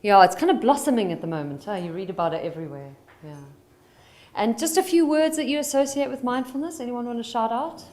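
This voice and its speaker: South African woman guiding a conference hall. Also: a little high. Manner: calm and guiding, slowing for instructions. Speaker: psychologist and mindfulness facilitator. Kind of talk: conference talk leading mindfulness exercises. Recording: roomy hall with faint ambience.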